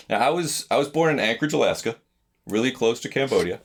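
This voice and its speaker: A man's voice: medium pitch, lively intonation, a little fast. A man playing trivia, joking dryly and hesitating with fillers in a casual chat. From Singapore. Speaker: trivia contestant.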